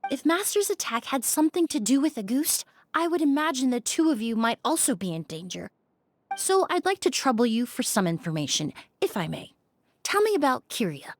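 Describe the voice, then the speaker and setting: A calm, precise perfumer. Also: female voice, high-pitched, fairly quick, a little hiss or hum. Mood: persuading. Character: composed female perfumer investigating, calm and precise. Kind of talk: game voice acting. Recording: dry studio recording.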